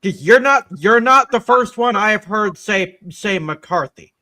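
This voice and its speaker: A man's voice: high-pitched, expressive, unhurried, sharp tone. A man chatting casually as a guest on a livestream, repeating phrases as he goes. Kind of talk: casual chat.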